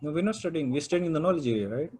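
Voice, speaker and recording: A man's voice: fairly high pitch, lively intonation, very fast, darkish tone, breathy edge. A man whose first language is Urdu, teaching a project management class and prodding students with questions.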